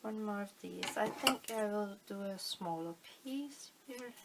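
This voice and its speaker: A middle-aged woman from Denmark with a Danish accent, calmly explaining a fabric-flower craft at her table, thinking aloud with many fillers. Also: medium pitch, a little slow, gravelly, heavy background noise. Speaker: crafting channel host. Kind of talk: casual craft tutorial. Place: indoors at a table, hands on camera.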